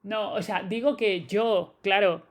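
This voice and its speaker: A woman's voice: mid-range voice, slow. A woman with a Madrid accent, chatting on a podcast and pressing her point insistently. Her voice sits in an ordinary middle range. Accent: Madrid Spanish. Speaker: podcast co-host. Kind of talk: casual chat.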